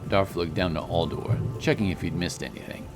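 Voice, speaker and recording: Deep-voiced man narrating over music. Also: fairly quick. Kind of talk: scripted fantasy story narration.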